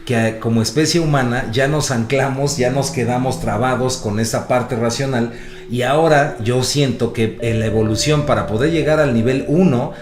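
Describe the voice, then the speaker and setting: A man's voice: medium pitch, moderate pace, reverberant, slight background noise. A man, co-hosting a casual panel talk, speaking in a thoughtful, hesitant way. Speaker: podcast co-host.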